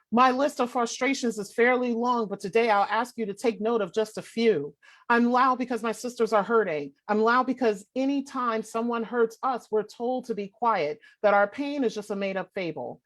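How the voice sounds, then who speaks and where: A young adult woman performing spoken word poetry with forceful, rhythmic declamation. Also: fairly high pitch. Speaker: poet.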